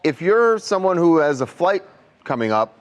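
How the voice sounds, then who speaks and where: A man's voice: on the high side, big pitch swings, normal speed. A man co-hosting a conversational news talk show. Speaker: co-host.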